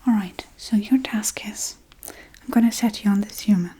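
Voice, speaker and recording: Witch for ASMR role-play, played by a woman: soft and mellow, breathy whisper, playfully menacing, forgetful, coaxing and unhurried. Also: medium pitch, close to an everyday voice. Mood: coaxing, gentle.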